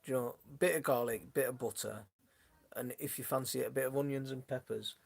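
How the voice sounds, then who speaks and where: Brief: male stream host, mid pitch with a gravelly fry, voice going hoarse, chatty and rambling with viewers. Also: steady tone, a little fast. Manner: rambling, many restarts, chatty and friendly toward viewers. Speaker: gardening channel host. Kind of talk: casual live-stream chat answering viewers. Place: his home, indoors. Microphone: phone.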